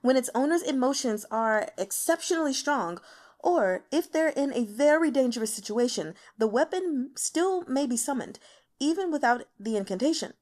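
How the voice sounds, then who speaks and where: A woman's voice: high-pitched, animated, moderate pace, darkish tone, pitched above the performer's own voice. Woman voicing a nervous, deferential elder court healer. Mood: nervous, insistent. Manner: nervous, hesitant, stammering, formal.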